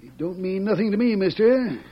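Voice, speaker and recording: High-voiced, suspicious western sheriff. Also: male voice, animated, fairly quick, breathy edge. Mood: stern. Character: suspicious, blunt small-town western sheriff. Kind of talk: old-time radio western acting. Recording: muffled vintage radio, faint music.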